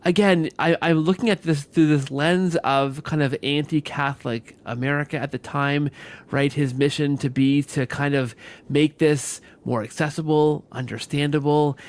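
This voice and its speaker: A man with a fairly high-pitched voice talks as an interview host. He speaks conversationally and haltingly, doubling back on words and tagging his points with a questioning "right?". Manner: halting, repeats words, often adds "right?". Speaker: podcast host.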